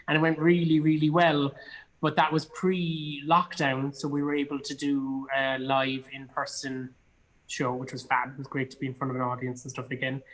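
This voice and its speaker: A man's voice: a little high, fairly bright tone, low-quality recording. A man with an Irish accent chatting casually and enthusiastically as a guest in an online conversation, speaking from a studio. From Cork, Ireland. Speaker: guest, actor and theatre producer. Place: indoors in a studio.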